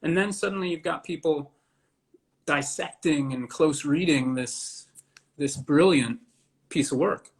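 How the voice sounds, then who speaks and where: A man giving casual interview answers as a theatre director, halting and deliberate in short phrases. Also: fairly high pitch.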